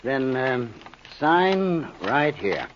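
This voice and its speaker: An actor playing a smooth, needling American schemer in an old radio crime drama, casual and insinuating. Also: male voice, mid-range voice, big pitch swings, drawn-out words, slight background noise. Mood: sly, needling. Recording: muffled old radio recording.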